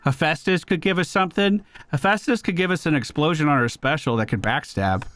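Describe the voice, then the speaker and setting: A man's voice: on the high side, fairly quick, a bit bright, no echo. A man streaming a game, giving casual, slangy commentary as he thinks aloud. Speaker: gaming streamer. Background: game music.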